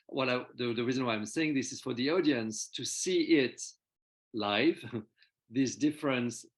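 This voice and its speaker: A man's voice: mid-range voice, a little slow. A man co-hosting a casual discussion, hesitating and repeating words as he works out his thoughts. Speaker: co-host.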